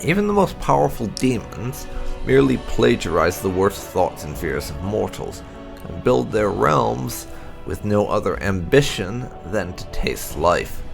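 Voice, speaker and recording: A man reading game lore aloud in a measured, formal narration style. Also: lowish voice, big pitch swings, vocal fry, faint music behind, rough recording. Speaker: gaming channel host reading lore entries. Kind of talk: read-aloud narration of fantasy game codex.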